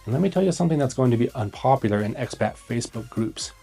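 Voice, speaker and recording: Emphatic, blunt man with a mellow voice. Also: medium pitch, lively intonation, fast talker, gravelly, breathy edge, faint music behind. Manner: emphatic, blunt, urgent, with short punchy phrases. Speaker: host, immigration consultant. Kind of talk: scripted advice video delivered to camera.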